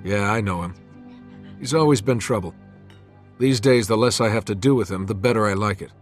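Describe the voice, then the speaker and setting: An actor playing a determined, loyal young streetwise hero in a video game, with a husky voice. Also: male voice, on the low side, fairly quick, natural voice. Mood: candid. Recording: music behind the voice.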